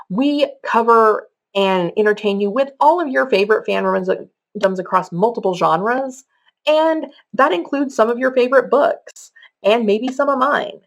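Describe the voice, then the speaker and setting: A woman with a mid-pitched voice presents as a podcaster. She explains things conversationally, working from loose notes in a countdown format. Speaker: podcaster giving book recommendations. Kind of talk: semi-scripted presentation, list countdown.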